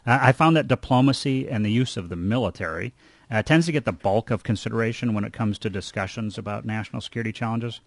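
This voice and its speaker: A man hosting an interview show, speaking in measured, formal phrases as he introduces topics and questions a guest. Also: lowish voice, vocal fry, no echo. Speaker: radio show host and interviewer.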